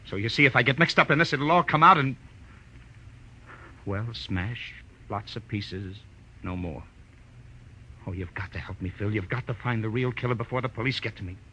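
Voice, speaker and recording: An actor playing a desperate, frightened accountant in a 1940s radio drama, anxious and pleading. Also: male voice, on the low side, moderate pace, long pauses, sharp tone. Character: desperate, frightened male accountant begging for help. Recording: muffled, faintly noisy old radio recording.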